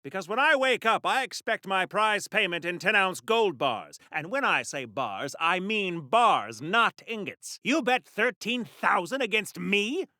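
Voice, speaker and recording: Pompous, high-pitched 1940s American war hero. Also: male voice, big pitch swings, measured pace, few pauses, sharp tone, no room sound, clean studio sound, exaggerated voice. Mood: scolding, proud. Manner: pompous, theatrical old-fashioned delivery. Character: pompous prudish 1940s American war-veteran superhero soldier. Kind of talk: video game acting in 1940s radio hero manner.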